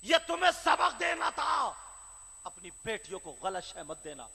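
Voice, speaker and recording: A man preaching a devotional sermon, telling the story with impassioned shouting and chanted, sing-song phrases. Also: very high voice, big pitch swings, gravelly, husky. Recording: reverberant hall with faint crowd.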